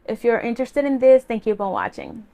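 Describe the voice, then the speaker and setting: A woman's voice: on the high side, wildly swooping pitch, brisk, vocal fry, warm tone, a little hiss or hum. A woman in her twenties from the Republic, talking casually to camera as a vlogger in a chatty, earnest way. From Dominican Republic. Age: 20s. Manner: chatty and earnest, leaning on "like" as filler. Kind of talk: casual vlog talk to camera.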